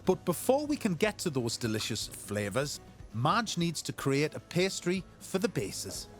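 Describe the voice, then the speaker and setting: A man's voice: fairly high pitch, sing-song, unhurried, soft background music. A man narrating a TV travel and food show in scripted voice-over.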